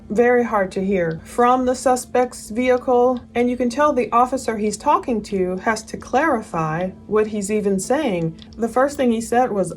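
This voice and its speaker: A woman giving opinionated, emphatic true crime commentary, posing pointed questions as she goes. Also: a little high. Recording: noticeable background noise.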